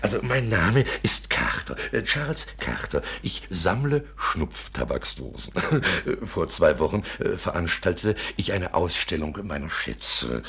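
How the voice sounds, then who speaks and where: An old gentleman collector in a 1950s radio play, with a very husky, low voice. He is fussy and effusively courteous, stammering and repeating himself as his pitch swings in lively fashion. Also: measured pace, few pauses, full character voice. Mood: effusive, ingratiating. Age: older.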